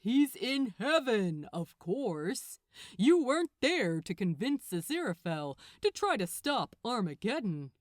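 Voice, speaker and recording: A woman's voice: on the high side, sing-song, unhurried, no room sound, clean studio sound. A woman voicing a smug, gloating male archangel with a condescending, theatrical manner in a fan fiction audiobook. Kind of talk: acted audiobook dialogue.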